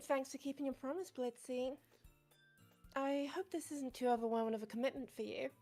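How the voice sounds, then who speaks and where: A woman's voice: high-pitched, a little fast, dark tone, faint music behind. A woman voicing a timid, bookish teenage girl who hesitates and stammers. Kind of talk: fan voice acting of a visual novel.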